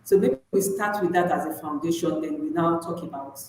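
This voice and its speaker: A woman's voice: lowish voice, a little fast. Nigerian woman host in a very echoey room. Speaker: interviewer and host. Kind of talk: conversational live interviewing. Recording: very echoey room, faint noise.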